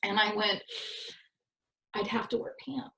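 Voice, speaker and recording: A woman and network host from Southern California, telling stories in a self-deprecating, animated way with a somewhat nasal voice. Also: medium pitch, a little slow, creaky. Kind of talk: casual conversation. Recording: roomy echo.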